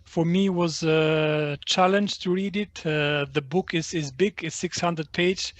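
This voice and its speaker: A man from Albania whose first language is Albanian, speaking as a book translator in an online talk, halting with many fillers and restarts. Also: on the high side, steady tone, no room sound.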